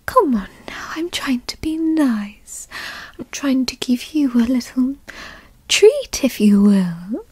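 A woman playing a doting, possessive yandere girlfriend who teases and coos in a soft, breathy ASMR roleplay whisper, close to the mic. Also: high-pitched, big pitch swings, no echo, heavily acted. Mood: tender coaxing. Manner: slow, breathy, cooing, teasing. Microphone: close microphone, dry.

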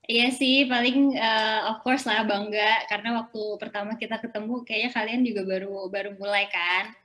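A woman's voice: fairly high pitch, steady tone, moderate pace, a bit bright. A young woman, a former teacher, chatting relaxedly over a video call and mixing in English phrases. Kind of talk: casual chat.

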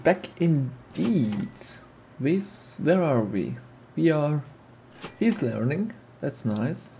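A man's voice: fairly high pitch, big pitch swings, very slow, creaky, warm tone, slight background noise. A man with a slight sniffly cold, casually commenting on a game he plays and thinking aloud as he goes. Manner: thinks aloud, muses and repeats himself. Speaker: let's play host.

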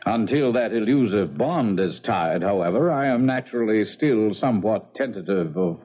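A man playing a calculating gentleman fiancé in a radio drama, speaking with formal, silky politeness. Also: lowish voice, animated, moderate pace. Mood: suave, guarded. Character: smooth, calculating gentleman fiancé of colonial times. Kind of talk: 1970s radio drama acting. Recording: dull 1970s radio recording.

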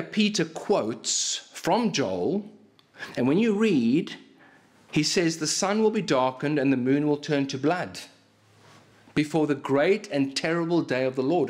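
Man preaching with lively gestures. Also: on the high side. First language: Afrikaans. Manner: animated preaching with hand gestures, frequent restarts. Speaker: pastor. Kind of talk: sermon. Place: small church hall with plaque on wall. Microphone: stand mic in front of him.

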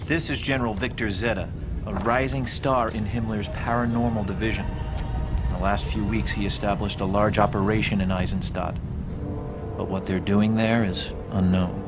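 Low-voiced intelligence officer played by a man. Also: fairly even intonation, normal speed, music in the background, close to an everyday voice. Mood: measured, serious. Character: measured male intelligence officer briefing an agent. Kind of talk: scripted game cutscene briefing.

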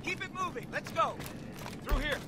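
A brisk soldier guiding an officer in an action game. His voice is very high and urgent as he instructs. Loud noise surrounds it. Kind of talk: video game action acting.

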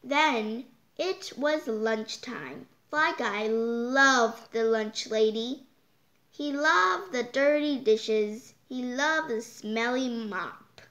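A child reading a picture book aloud, halting and stumbling over words, with exclaimed side comments. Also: medium pitch, sharp tone. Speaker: storytime reader. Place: indoors, hands holding book before the camera.

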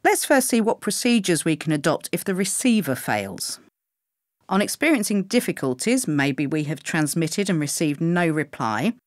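A woman reading scripted instructional narration for pilot training in a measured, clearly enunciated way. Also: low voice, dry recording, studio quality.

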